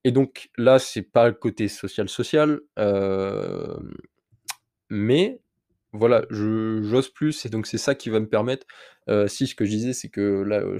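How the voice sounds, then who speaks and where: A man's voice: fairly low pitch, mellow, no echo, clean studio sound. A man with a slight cold, hosting his own podcast and telling a personal story in a candid, rambling way straight to the listener. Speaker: podcast host. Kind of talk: casual solo podcast monologue.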